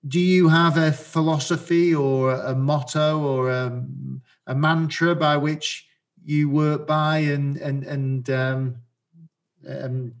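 A man's voice: a little high, steady tone, slow pace. A man hosting a podcast interview, asking questions while stumbling and repeating words. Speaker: podcast host. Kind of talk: casual interview.

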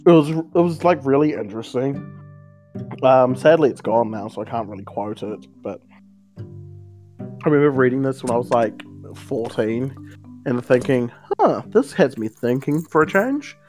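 A man's voice: mid-range voice, animated, normal speed, darkish tone, soft background music. A young man co-hosting a casual joking podcast, rambling with lots of fillers and frequent laughter. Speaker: podcast co-host.

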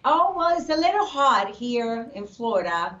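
A woman's voice: on the high side, very animated, fairly bright tone. Woman from Puerto Rico on a distant, echoey line. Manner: earnest, faith-filled, speaks slowly with restarts. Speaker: guest, pastor's wife. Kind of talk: casual interview chat. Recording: echoey, distant, dull remote audio.

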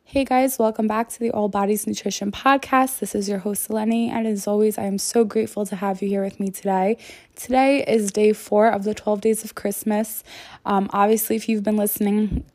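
A woman hosts a solo podcast in a casual, chatty way. Her mid-pitched voice is still recovering from an illness, and she speaks earnestly. The sound is close and dry, with no room echo. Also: fairly even intonation, fairly quick, darkish tone. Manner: conversational, frequent "like" and "you know". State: voice not fully back after illness. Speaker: podcast host.

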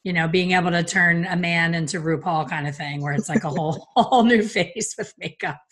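A woman hosting an interview, talking fast and chatting with enthusiasm. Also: fairly low pitch, fairly bright tone. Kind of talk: conversational interview.

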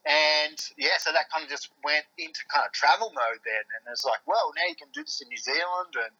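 A man's voice: a little high, very animated, fairly quick, vocal fry, bright, forceful tone, husky, highs cut off. An Australian man guesting on a podcast, chatting casually and rambling with lots of fillers and restarts. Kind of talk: casual chat.

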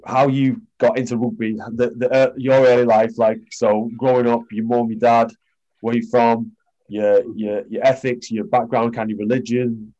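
Man, rambling host, mellow tone, dull muffled sound. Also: medium pitch. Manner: rambling, filler-heavy, chatty. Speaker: podcast host, former rugby player. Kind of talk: casual interview chat.

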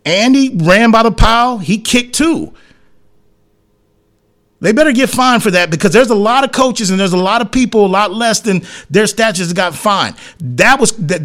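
A man with a notably high-pitched voice delivers an opinionated sports commentary monologue. He is blunt and emphatic, often repeating a phrase to drive it home. His wording is colloquial, full of slang and double negatives. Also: fairly bright tone. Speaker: sports talk show host.

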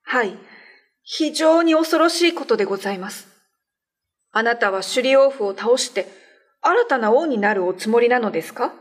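A woman's voice: a little high, lively intonation, normal speed, husky. A woman playing a dignified, loyal spirit medium in a radio drama, speaking formally and firmly. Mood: grave, resolute. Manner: formal, deferential, firm.

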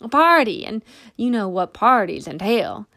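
A woman voicing a drunken, overly polite man with a slow, pompous drawl in a read-aloud story. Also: medium pitch, sing-song, vocal fry, sharp tone, dry recording, studio quality, exaggerated voice. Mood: jovial. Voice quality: slow drawl, slurred pomp.

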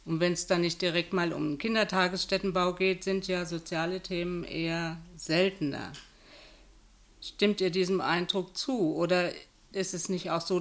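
Low-voiced woman hosting a podcast. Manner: friendly, structured questioning. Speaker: podcast host. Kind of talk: moderating a local politics podcast.

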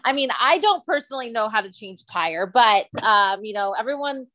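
Woman, higher-pitched, talkative co-host; casual, filler-heavy, easy laugh. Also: animated, a little fast, crowd noise, rough recording. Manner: chatty, lots of fillers, laughs easily. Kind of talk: casual chat.

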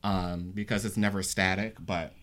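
A man chatting casually in a group discussion, rambling and repeating himself, and turning animated when he jokes. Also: sits low, moderate pace.

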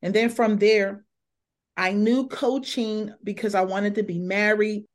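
A woman's voice: medium pitch, unhurried. Fifty-year-old woman telling her story. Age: 50s. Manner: lively, acts out quoted dialogue. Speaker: guest, minister and former coach. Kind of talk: conversational storytelling interview.

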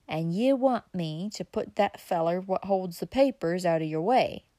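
Woman doing a hoarse moonshiner. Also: sits high, animated, unhurried, mellow, no room sound, studio quality. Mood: slow, sly. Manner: drawling backwoods dialect, hoarse frightened whispers. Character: rough old mountain moonshiner, superstitious and loyal.

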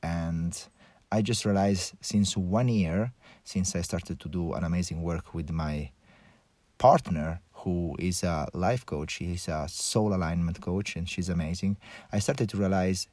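Low-pitched male voice, Italian accent, podcast host, personal monologue, reflective halting phrasing, dry close sound. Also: a little mellow, dry recording. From Italy.

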